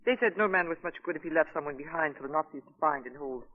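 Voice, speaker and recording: A woman's voice: low-pitched, quick pace. A woman acting an anxious, earnest, contrite wartime refugee wife in a 1940s radio drama. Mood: anxious, ashamed. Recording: tinny reverberant 1940s radio recording.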